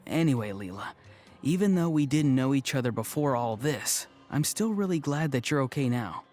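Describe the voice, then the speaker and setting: A man's voice: mid-range voice, lively intonation, moderate pace, darkish tone, soft background music, natural voice. A man playing a friendly, level-headed young man with a casual, teasing manner in a video game. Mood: relieved. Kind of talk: video game cutscene voice acting.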